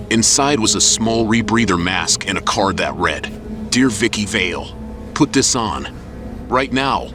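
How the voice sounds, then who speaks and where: Low-voiced male narrator over music. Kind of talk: scripted audio-drama narration. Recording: music underneath.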